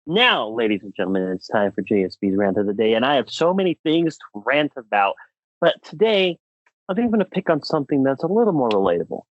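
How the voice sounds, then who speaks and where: Animated young American man ranting, dry sound. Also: medium pitch, fast, no room sound, lo-fi sound. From United States. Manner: exasperated, emphatic, theatrical. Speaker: podcast co-host. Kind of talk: comedic rant on a podcast.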